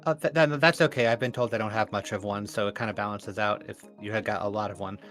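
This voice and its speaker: A man's voice: fairly low pitch, fairly even intonation, fast, a bit bright, soft background music, lightly acted. A man playing a shy, self-deprecating young male intern with a hesitant stammer, in improvised tabletop roleplay. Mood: nervous, awkward.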